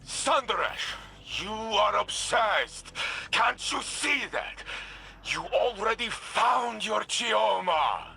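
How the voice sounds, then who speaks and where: An actor playing a noble, loyal warrior in a video game, earnest, emphatic and defiant. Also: male voice, sits high, sing-song, slow pace, creaky, breathy edge, full character voice, low-quality recording. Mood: impassioned defiance. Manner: earnest, emphatic, bursts into shouts. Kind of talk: dramatic video game acting.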